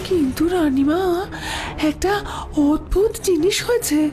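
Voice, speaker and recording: A woman's voice: extremely high pitch, lively intonation, measured pace, mellow. A woman playing a devoted, anxious palace maidservant in a fairy-tale audio story, pleading and dramatic. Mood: anxious, earnest. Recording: music under the voice.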